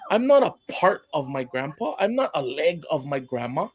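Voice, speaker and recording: A man's voice: fairly high pitch, big pitch swings, moderate pace, slightly rough. A man from Hawaii speaking as a guest on a live online panel discussion, earnest and building to passionate emphasis.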